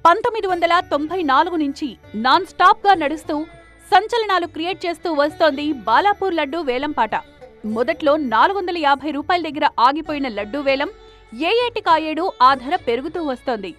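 A woman's voice: high-pitched, expressive, moderate pace, a bit bright. A woman reading scripted news narration as a reporter. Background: background music.

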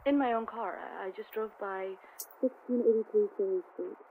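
A woman's voice: mid-range voice, moderate pace, husky, phone-quality sound. A woman playing an uneasy, hesitant driver calling an emergency line in a video game. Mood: nervous. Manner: hesitant, nervous.

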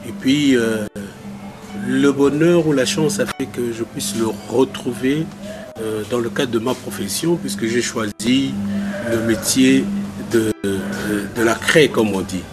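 An unhurried man in his fifties from Kinshasa talks about a former student of his. He speaks slowly and carefully, pausing often and reaching for set phrases. Music plays clearly underneath, and the sound is from outdoors in a garden. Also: mid-range voice. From Kinshasa, Congo. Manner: measured, with pauses and set phrases. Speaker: teacher giving a testimonial. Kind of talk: testimonial interview.

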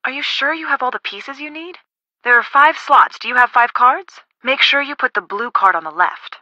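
A woman voicing a friendly young woman in a video game, casual, expressive and helpful. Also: high-pitched, normal speed, dry recording, dull audio, full character voice. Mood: helpful, explaining.

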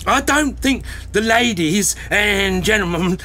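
An actor playing a frightened gravedigger in an audio drama, stammering and nervously deferential. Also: male voice, high-pitched, animated, takes it slowly, pushed and bright, heavily acted. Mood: frightened pleading. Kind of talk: theatrical audio drama acting.

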